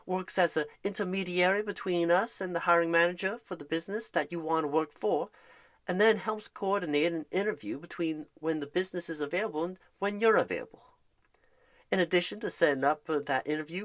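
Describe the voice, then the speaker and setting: A performer as eager job recruiter, medium pitch, soothing ASMR roleplay, reassuring, wordy, salesy phone manner. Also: a bit bright, close to an everyday voice. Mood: reassuring, explanatory. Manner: encouraging, salesy, wordy, repeats for emphasis.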